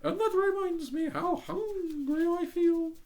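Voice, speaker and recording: A storyteller voicing a chatty, peaceful female duck in a very high, sing-song squeak. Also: takes it slowly, darkish tone, exaggerated voice. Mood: placid. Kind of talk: storybook reading.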